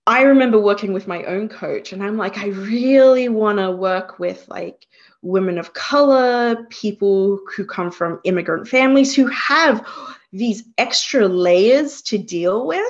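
A woman's voice: mid-range voice, unhurried, lo-fi sound. A woman with an Australian accent, a career coach speaking as an interview guest, reflective and thinking aloud. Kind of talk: conversational interview.

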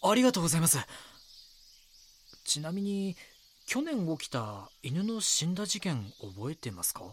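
A man's voice: a little high, sing-song, normal speed, a little hiss or hum. An actor playing a young, earnest magazine reporter in an audio drama, politely probing with his questions. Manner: polite, deferential when questioning.

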